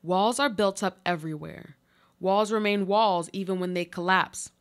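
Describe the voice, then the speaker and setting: A woman's voice: medium pitch, normal speed, background noise, natural voice. A woman playing a desperate trapped woman, pleading and reproachful in a dramatic radio play monologue. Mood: bitter, pained.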